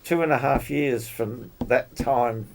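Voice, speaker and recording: A man chatting casually about technical matters as a club member, explaining details in a halting way. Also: mid-range voice, vocal fry, darkish tone, poor audio. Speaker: club member discussing radio gear.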